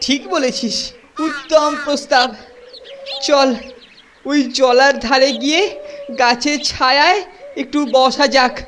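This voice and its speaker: A woman's voice: sits high, steady tone, slow, long pauses, a bit bright, a little hiss or hum. A woman voicing a bossy, cowardly older boy who leads a gang in a cartoon, blustering and ordering the others about. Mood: bossy, pompous. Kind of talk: cartoon voice acting.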